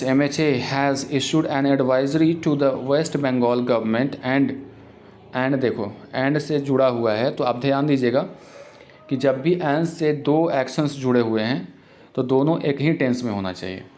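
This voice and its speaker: Man, grammar lesson, slow delivery, mid-pitched voice, reads examples deliberately. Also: a little mellow. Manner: explains in Hindi, reads English example sentences slowly and deliberately. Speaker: English teacher. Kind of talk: exam-prep grammar lesson.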